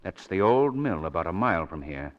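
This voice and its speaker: An actor in a 1940s radio drama playing a calm, commanding gentleman sleuth. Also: low voice, a little fast. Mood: urgent, commanding. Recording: dull old 1940s radio recording.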